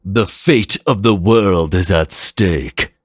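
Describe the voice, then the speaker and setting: A man voicing a stern, proud guardian leader in a formal, deliberate, threatening way for a dramatic story. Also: low voice, dry recording, clean studio sound, voice pushed up. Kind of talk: dramatic fan fiction reading.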